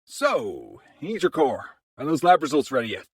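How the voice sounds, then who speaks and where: An actor playing an easygoing, wry adventurer with a husky voice in an audio fantasy drama. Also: medium pitch, wildly swooping pitch, moderate pace, no echo, heavily acted. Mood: casual, reasoning. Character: teasing, easygoing armored adventurer.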